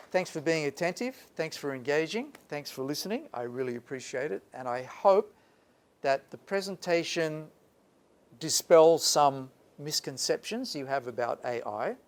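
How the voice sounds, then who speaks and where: A man's voice: a little high, a little slow, some chatter behind. A male lecturer giving a live workshop talk to students, emphatic and repeating points for stress. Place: lecture room.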